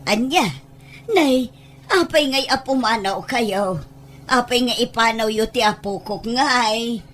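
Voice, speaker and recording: A woman's voice: a little high, takes it slowly, a bit bright, slight background noise. Woman acting stubborn, doting Ilocano grandmother. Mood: pleading. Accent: Ilocano Filipino. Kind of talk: amateur family audio drama acting.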